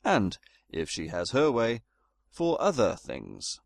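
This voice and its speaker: A man's voice: mid-range voice, huge pitch swings, slow, creaky, dry recording, heavily acted. A man playing a very proper British narrator in a comedy audio drama, dryly pompous and wordy. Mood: storytelling.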